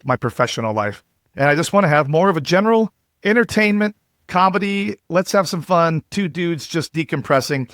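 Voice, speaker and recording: A man's voice: on the high side, a bit bright, dry recording, clean studio sound. A man, hosting a casual podcast in a laid-back, bantering way. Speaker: podcast host. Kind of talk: casual chat.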